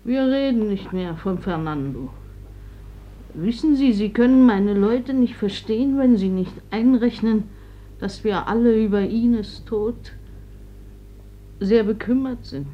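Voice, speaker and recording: An actress playing an older, grieving mother in a radio drama, plain-spoken, measured and resigned. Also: fairly high pitch. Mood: sorrowful. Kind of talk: German stage-like radio drama acting. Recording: dull old radio drama recording.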